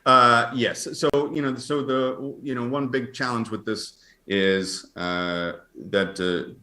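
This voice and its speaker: A man speaking as an astronomer guest, explaining science conversationally in a halting, thinking-aloud way. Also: medium pitch, steady tone, pushed and bright. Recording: roomy, echoey sound.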